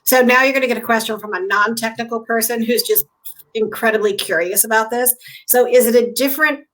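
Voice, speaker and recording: Woman host, kinda high voice, restarts her questions a lot. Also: a little fast, fairly bright tone, low-quality recording. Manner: restarts questions mid-sentence. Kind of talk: casual interview questions.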